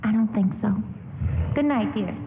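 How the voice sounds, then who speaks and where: A woman's voice: normal speed, phone-quality sound, lightly acted. An actress playing a kindly, protective older aunt, reassuring and motherly, in 1940s radio drama style. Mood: protective, gentle. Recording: boomy hall, band-limited AM radio aircheck, noise.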